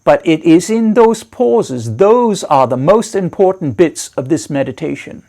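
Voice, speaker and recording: A man leading a guided meditation as a teacher, giving measured, reassuring instructions with deliberate pauses. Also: a little high, animated, creaky, mellow.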